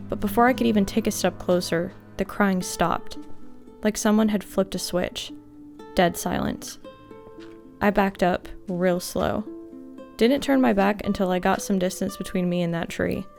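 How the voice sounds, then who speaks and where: A woman with a stuffy nose from a cold, calmly reading horror stories aloud as host of her gaming channel. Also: medium pitch, creaky. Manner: calm, measured storytelling.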